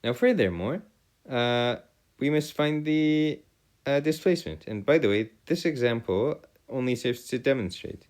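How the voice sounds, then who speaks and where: A man's voice: mid-range voice, expressive, unhurried, a little mellow. A man teaching a maths lesson as a tutor, in formal, wordy phrasing, often correcting himself as he works aloud. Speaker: math tutor. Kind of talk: video lesson working through calculus problems aloud.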